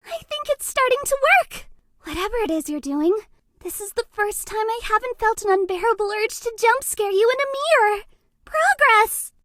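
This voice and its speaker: A woman's voice: extremely high pitch, lively intonation, normal speed, a bit bright. A woman voicing a sweet, awkward young female ghost for a video game, hesitant, gushing and apologetic. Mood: hopeful, tender. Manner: hesitant, bubbly, gushing, self-deprecating. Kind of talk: cute video game character acting.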